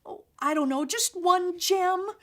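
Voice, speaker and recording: A woman voicing a bold, eager young girl in a children's storybook, loud and excitable. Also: very high voice, measured pace, pitched above the performer's own voice. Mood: pleading.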